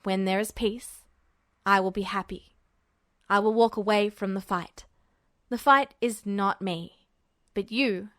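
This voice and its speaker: Lady doing a pensive cryptic teenage soldier boy for a story, lowered voice, clipped cold phrasing, very dry sound. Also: a little slow, pauses often, no echo, lightly acted. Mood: grave, intense. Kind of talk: audiobook character dialogue.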